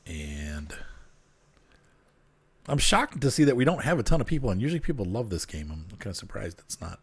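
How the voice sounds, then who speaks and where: Congested, nasal man chatting low. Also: very animated, a little fast, breathy edge. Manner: chatty, muttering to himself while working. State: a little congested. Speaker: streamer playing a train game. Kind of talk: casual gameplay chat. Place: at home. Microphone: desk microphone turned up.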